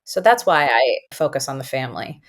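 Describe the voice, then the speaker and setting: Woman, native Farsi speaker, very low pitch, thoughtful, emphatic, podcast guest. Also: big pitch swings, a little fast. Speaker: guest educator and author. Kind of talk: conversational interview.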